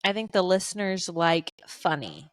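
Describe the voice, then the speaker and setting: Monotone young woman co-hosting a podcast. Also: on the low side, moderate pace, no room sound. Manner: flat, monotone delivery. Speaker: podcast co-host. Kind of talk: casual chat.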